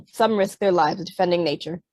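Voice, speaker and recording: A woman's voice: lowish voice, lively intonation, moderate pace, darkish tone, no room sound. A middle-aged woman from Canada, an author on a panel who talks with many fillers and restarts, then reads aloud from her book. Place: Vancouver.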